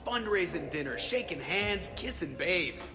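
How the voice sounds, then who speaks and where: A man's voice: high-pitched, expressive, unhurried. A man playing a sarcastic, wisecracking spaceship pilot in a video game, delivering snarky quips with mock seriousness. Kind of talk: scripted game dialogue. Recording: music under the voice.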